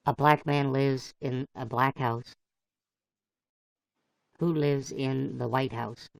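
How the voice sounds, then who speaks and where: Slow-paced gravelly male livestream host. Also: medium pitch, fairly even intonation, dry recording, rough recording. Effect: voice changer. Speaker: livestream host playing a computer-generated fox. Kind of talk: casual livestream chat.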